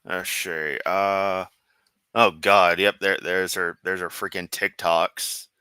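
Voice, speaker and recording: A rambling, sarcastic man hosts a live stream, talking half to himself as he clicks around. His voice sits fairly low but has a bright, sharp edge, and his throat is getting sore. The sound is dry and close, with no room around it. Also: level tone, slow pace, clean tone, no room sound. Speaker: livestream host. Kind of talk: casual live-stream commentary.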